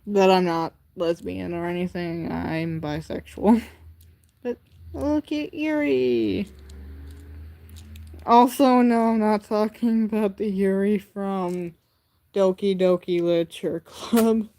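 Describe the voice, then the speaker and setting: A woman's voice: mid-range voice, steady tone, slow pace, gravelly, dark tone. A teenage girl chatting casually on her livestream, showing off her handmade crafts in a rambling way, full of "like" and "um". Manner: rambling, filler-heavy with many "like" and "um"; chatty and talks straight to viewers. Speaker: livestream host.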